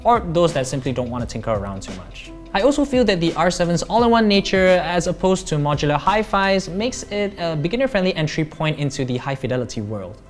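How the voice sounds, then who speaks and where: A man in his thirties with a Malaysian accent, narrating a scripted product review as a tech reviewer. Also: sits high.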